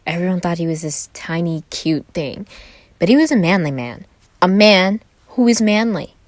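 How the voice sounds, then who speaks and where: A woman's voice: on the low side, animated, normal speed, no echo. A woman who hosts and reads fan fiction, moving between casual chat and story narration. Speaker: podfic reader and channel host.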